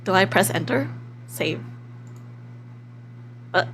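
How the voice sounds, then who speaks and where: A woman live streaming a game in a casual, excitable, giggly way, reading text aloud and reacting expressively as she goes. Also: medium pitch, slow, slight background noise. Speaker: livestream host.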